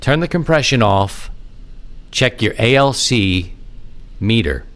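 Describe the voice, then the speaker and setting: A man hosting a podcast, talking casually and informally in a rambling, self-deprecating monologue with animated asides. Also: medium pitch, takes it slowly. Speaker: podcast host. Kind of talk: casual rambling hobby monologue on amateur radio.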